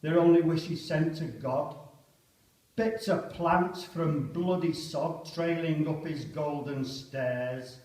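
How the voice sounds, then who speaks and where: A man's voice: on the high side, slow pace. A man performing a dramatic poem as a stunned, fatalistic British soldier of the First World War waiting to attack. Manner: dramatic declaiming with sudden loud shouted countdown calls. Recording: echoey church, distant microphone.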